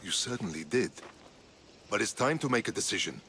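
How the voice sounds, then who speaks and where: An actor playing a level-headed, principled Spartan military man in a video game, measured and reasoning. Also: fairly low pitch, animated, bright, forceful tone, slight background noise. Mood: grave, reasoning. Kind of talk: video game dramatic acting. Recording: music under the dialogue.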